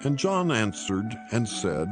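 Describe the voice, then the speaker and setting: A man narrating scripture in a solemn, measured and formal reading style. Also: fairly low pitch, very animated, music in the background.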